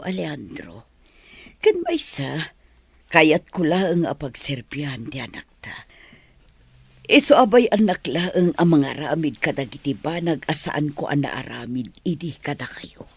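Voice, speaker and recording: A woman playing a tender, devoted middle-aged mother in an Ilocano radio drama, with an emotional, breathy delivery. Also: low voice, big pitch swings, measured pace, dry recording, full character voice. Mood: tender, grateful.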